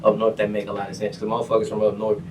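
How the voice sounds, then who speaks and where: A man's voice: lowish voice, completely flat delivery, racing, a little mellow, distant mic, slight background noise. Laid-back young man hosting a chat. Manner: laid-back, frequent fillers like "you know what I'm saying". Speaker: podcast host. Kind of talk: casual interview chat.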